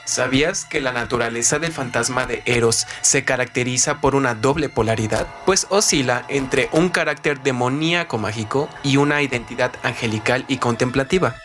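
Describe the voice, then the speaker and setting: Male narrator for a literary radio feature: formal scripted read, mid-pitched, light music bed. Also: normal speed, few pauses, fairly bright tone. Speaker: presenter of a literary radio segment. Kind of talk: scripted narration, trivia about a novel. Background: background music.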